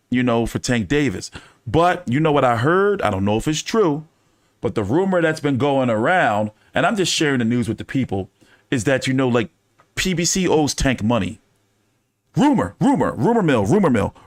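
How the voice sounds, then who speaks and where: A man's voice: mid-range voice, no room sound, clean studio sound. Loose, profane man chatting about boxing. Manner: loose, profane, rambling, repeats phrases. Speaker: boxing news channel host. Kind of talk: casual fan chat commentary.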